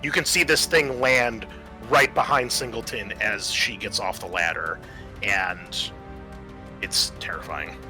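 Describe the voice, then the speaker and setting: A man's voice: medium pitch, steady tone, a little slow. A man narrating a tabletop roleplaying game as game master, improvising descriptions and explaining the rules, with music behind him.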